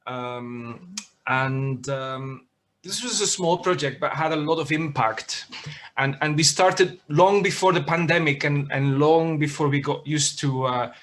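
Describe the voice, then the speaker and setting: A man from Belgium, a chief librarian, introducing himself on an online panel over a video call, speaking in measured phrases with filler pauses. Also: on the high side, a bit bright.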